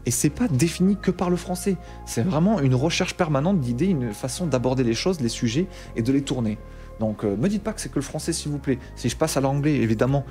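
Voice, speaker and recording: Fast, animated man with a Bordeaux accent. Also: on the high side. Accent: Bordeaux French. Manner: animated, slangy, mildly exasperated. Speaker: tech YouTuber. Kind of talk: casual talk to camera.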